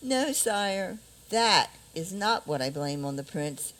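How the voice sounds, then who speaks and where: A woman's voice: mid-range voice, expressive, takes it slowly, fairly bright tone. A woman playing a weary, sleepless fairy-tale princess, plaintive and theatrical, in a radio theater comedy. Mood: weary, complaining.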